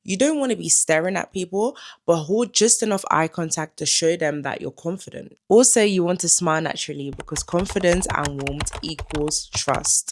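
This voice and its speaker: Young woman giving advice. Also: deep voice. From Netherlands. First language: Dutch. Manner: calm and instructive, advising the viewer directly. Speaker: lifestyle vlogger. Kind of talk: advice vlog talking to camera.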